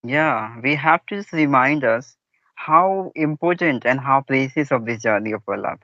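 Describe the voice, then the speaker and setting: A man talks in a polite, formal way at an average pitch and often calls his partner ma'am. His voice sounds dry, with no room around it. The audio is dull and lo-fi. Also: expressive, moderate pace, pushed and bright, no echo. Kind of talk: conversation practice chat.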